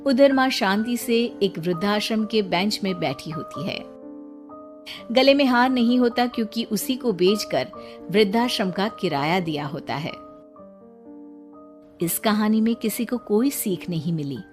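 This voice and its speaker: A woman's voice: mid-range voice, expressive, a little slow. A woman reading a scripted story as its narrator. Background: background music.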